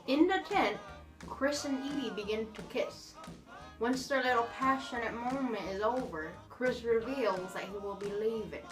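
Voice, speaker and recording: A woman's voice: on the high side, moderate pace, lightly acted. Woman playing a bickering grandma storyteller, echoey and distant. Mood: storytelling. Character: Grandma Maria, bickering elderly woman storyteller, fondly exasperated. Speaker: story show host. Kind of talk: amateur comic skit, storytelling. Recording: echoey room, far from mic, music behind.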